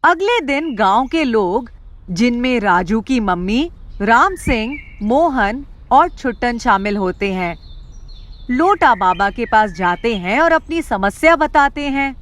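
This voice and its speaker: A woman's voice: fairly high pitch, animated, takes it slowly, slight background noise. A woman reading scripted story narration in a storyteller's role. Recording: music behind the voice.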